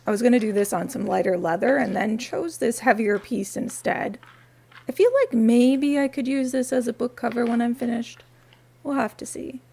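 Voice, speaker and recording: A woman with a raspy voice, talking softly and unhurriedly through a quiet crafting vlog in her home studio, with wry asides. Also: fairly high pitch, fairly even intonation, moderate pace. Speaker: crafting vlogger.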